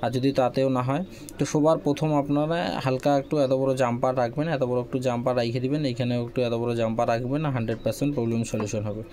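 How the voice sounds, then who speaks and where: A man's voice: medium pitch, steady tone, fast talker, dark tone. A man presenting a casual hands-on repair tutorial, explaining step by step and often repeating his phrases. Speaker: phone repair tutorial host.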